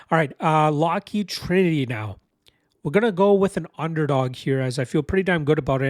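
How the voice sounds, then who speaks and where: Male betting analyst, stuffy cold, brisk and confident, slightly higher voice, dry close mic. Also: darkish tone, no echo. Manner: brisk, confident, bettor's slang. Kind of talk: casual betting picks breakdown.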